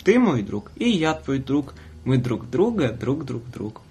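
A man hosts a casual radio talk show in a medium-pitched voice with a slight husk. He interviews playfully, teasing as he goes and bantering informally. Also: big pitch swings, unhurried, gravelly, a little mellow, husky.

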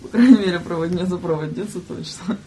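A woman's voice: on the low side, fast, mellow, slight background noise. A woman chatting casually and jokingly as a guest on a radio talk show.

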